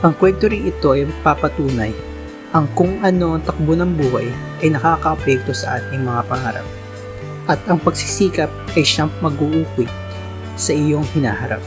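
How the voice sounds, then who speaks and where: A man with a mid-range voice narrates a radio drama as its DJ, formal and measured. Guitar music plays clearly behind him. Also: fairly high pitch.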